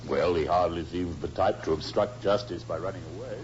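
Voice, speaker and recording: A man's voice: deep voice, a little fast, a little mellow, close to an everyday voice. An actor playing a polite, matter-of-fact male police inspector in a 1950s radio crime drama. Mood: courteous, official. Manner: courteous, official, matter-of-fact. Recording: muffled old radio recording, reverberant, hissy.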